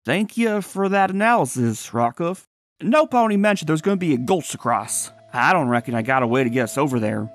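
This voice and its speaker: A woman's voice: low-pitched, expressive, brisk, no echo. A woman voicing a helpful, folksy cowgirl pony with an American Southern accent in a cartoon dub. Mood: dismayed. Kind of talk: comic dub cartoon acting. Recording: music behind the voice.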